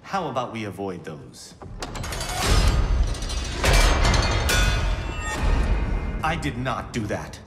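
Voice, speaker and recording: An actor playing a proud, sardonic young Shaolin warrior monk in a video game, with formal diction and dry wit. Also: male voice, fairly low pitch, sing-song, moderate pace, very noisy. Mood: wry.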